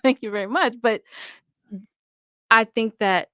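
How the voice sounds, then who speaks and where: A woman's voice: a little high, measured pace, pushed and bright, dry recording, clean studio sound. A woman talking conversationally as a podcast guest, explaining things with enthusiasm and emphasis. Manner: enthusiastic, emphatic, explanatory, often checks with "right?". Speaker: podcast guest, audio drama producer. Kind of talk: conversational interview talk.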